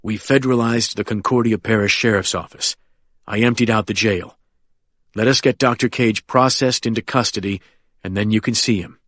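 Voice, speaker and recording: Guy reading a calm no-nonsense fed, plain voice, dry sound. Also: fairly low pitch, moderate pace, no room sound. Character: calm, businesslike male federal agent.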